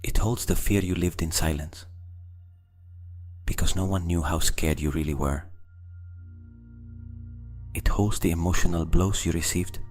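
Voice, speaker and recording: A man narrates a scripted guided meditation in slow, deliberate phrases with long pauses. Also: sits low, steady tone, darkish tone, faint music behind.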